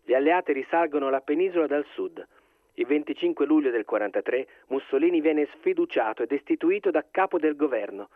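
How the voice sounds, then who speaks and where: An actor playing a grave wartime radio chronicler, reading scripted history in a declamatory way through a radio filter. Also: male voice, a little high, dry recording. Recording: thin phone-like radio sound, very dry.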